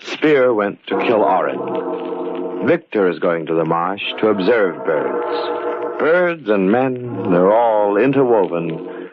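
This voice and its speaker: Macabre radio narrator: deep-leaning man, expressive, philosophizing, deliberate and portentous, lo-fi sound. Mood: ominous. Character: ominous, philosophizing male narrator of macabre tales. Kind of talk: old-time radio horror narration. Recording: dull, lo-fi radio recording. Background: music.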